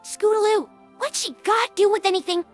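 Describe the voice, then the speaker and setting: A woman's voice: extremely high pitch, brisk, soft background music. A woman voicing a brash, loyal young female pegasus pony with a youthful, slangy, cocky delivery in a cartoon audio drama. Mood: defensive. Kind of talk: animated cartoon-style audio drama dialogue.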